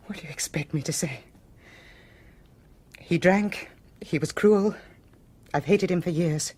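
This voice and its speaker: An actress playing a bitter, fatalistic wife in a radio mystery, a breathy voice and a strained, brittle delivery. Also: female voice, lowish voice, unhurried, darkish tone. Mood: strained, wary. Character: bitter, fatalistic wife who has shot her husband. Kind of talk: radio mystery drama acting.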